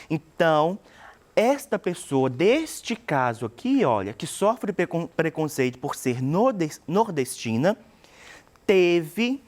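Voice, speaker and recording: A Brazilian woman teaching a recorded class. Her voice sits somewhat low but moves a lot in pitch as she explains, and she often pauses to check that her students are following. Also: darkish tone. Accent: Brazilian Portuguese. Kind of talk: recorded online lesson.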